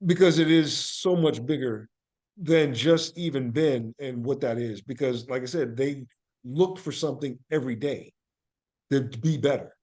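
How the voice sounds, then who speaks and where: A man's voice: mid-range voice, a little slow, darkish tone. Earnest man speaking reflectively in an interview. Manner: earnest, motivational, emphatic repetition. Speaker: podcast guest, actor and playwright.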